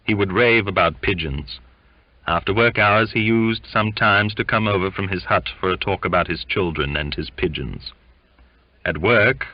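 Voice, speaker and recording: A man narrating a literary audiobook in a measured, expressive storytelling manner, in dull, muffled lo-fi audio. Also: lowish voice, no room sound.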